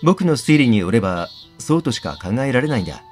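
A man reads as an earnest young student sleuth in a mystery game. He sounds deliberate and a little hesitant, with expressive pitch over faint music. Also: natural voice. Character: earnest, hesitant young male student turned amateur detective.

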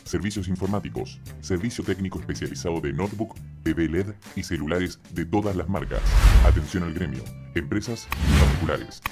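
A man reads a commercial in a steady, listing sales voice. His voice is deep, and music plays clearly behind it. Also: fairly quick. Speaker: ad voice-over. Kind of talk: commercial voice-over.

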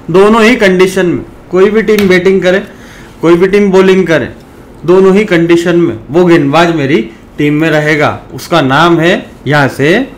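A hoarse man from India, sick with a sore throat, chatting to his viewers in a fairly high voice. He calls them brothers and rants loudly at times. Faint noise hums under the recording. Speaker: fantasy cricket YouTuber. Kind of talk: casual talk to viewers.

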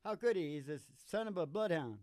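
A man's voice: on the high side, lively intonation, brisk, a little mellow, no echo. An older man hosting a country comedy podcast on a stage mic with folksy, playful, rambling banter. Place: small curtained stage studio, indoors. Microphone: stage microphone on a stand. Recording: live acoustic guitar under the voice. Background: acoustic guitar.

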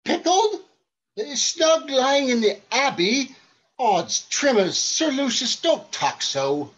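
A woman's voice: mid-range voice, sing-song, slow, a bit bright, husky, muffled recording, heavily acted. A woman voicing a cowardly country squire faltering nervously before a duel in a stage play.

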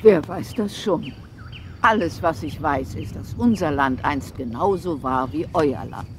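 Man voicing a high-pitched, grave old herd-leader dinosaur matriarch. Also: a little slow, background noise, lightly acted. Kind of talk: children's cartoon audio drama.

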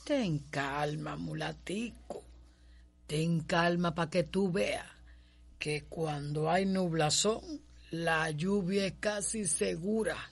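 A woman's voice: lowish voice, slow pace, creaky, breathy edge, exaggerated voice. An actress playing a wise, sly, folksy middle-aged mother in a Cuban radio drama, drawling knowingly in a rural Cuban accent. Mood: sly, soothing. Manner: drawling, knowing, proverb-laden.

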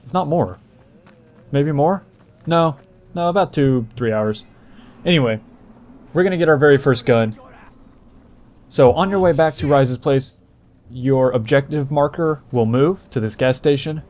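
A man's voice: medium pitch, a little slow, long pauses, a little mellow. A man doing casual, explanatory gameplay walkthrough commentary as the host, talking informally to viewers.

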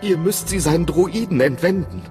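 An actor playing a nervous, boastful middle-aged weapons inventor in a video game, hesitant and eager to please. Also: male voice, fairly high pitch, lively intonation, moderate pace, natural voice. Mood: explaining, cautious. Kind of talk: video game voice acting in German. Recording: music behind the voice.

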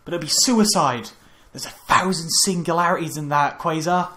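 A man's voice: fairly high pitch, normal speed, sharp tone, slight background noise. A man co-hosting a podcast in casual, mocking chat, swearing freely and recapping with sarcastic mock drama. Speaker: podcast co-host.

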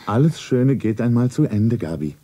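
An actor playing a wealthy middle-aged lover, tender, solemn and secretive, in a radio play. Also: male voice, medium pitch, very animated, moderate pace, warm tone, husky. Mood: pleading. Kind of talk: stylised radio play acting, narrating his own scene. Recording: 1970s radio play, music behind.

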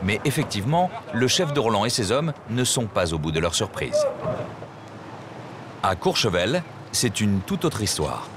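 A man reading scripted documentary narration in a measured storytelling way. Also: on the low side, big pitch swings, noisy background.

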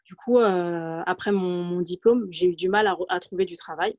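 A woman's voice: lowish voice, moderate pace, darkish tone, no echo. Hesitant woman on a dull, lo-fi call. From France, Paris region. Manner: hesitant, many fillers and restarts. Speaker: guest. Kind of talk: casual interview, telling her career story. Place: home room, video call. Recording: dull, lo-fi video call audio.

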